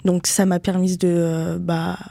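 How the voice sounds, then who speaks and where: A young woman student answering interview questions casually, in a halting way with fillers. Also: fairly low pitch, little pitch movement, creaky, mellow, dry recording. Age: young adult.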